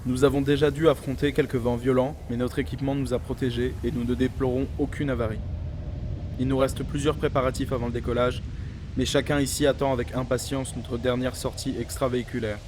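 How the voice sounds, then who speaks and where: A young man playing a calm, dutiful astronaut, formally reporting in a sci-fi audio drama. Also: medium pitch, brisk, natural voice. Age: young adult. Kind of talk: scripted mission-log narration in audio drama. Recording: faint noise, outdoor vehicle-like ambience.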